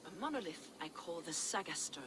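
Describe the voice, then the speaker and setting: An actress playing an enigmatic, devout heretic woman in a video game, speaking in a measured, cryptic, archaic way. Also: lowish voice, lively intonation, natural voice. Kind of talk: dramatic video game acting. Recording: clear ambient noise behind.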